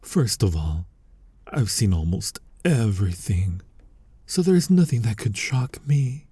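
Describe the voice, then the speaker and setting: A man playing a flirty, dominant incubus demon in an intimate ASMR roleplay, teasing the listener in a husky, breathy voice that often drops to a whisper. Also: fairly low pitch, very animated, mellow, dry recording, full character voice. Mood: coaxing, reassuring. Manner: slow, sultry, teasing, addressing listener directly. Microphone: close mic, dry.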